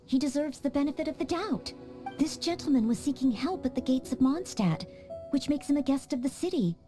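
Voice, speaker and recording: A woman voicing an earnest, dutiful young maid who trains as a knight in a video game, speaking politely and formally. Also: sits high. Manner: polite, formal and eager to help. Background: soft game music.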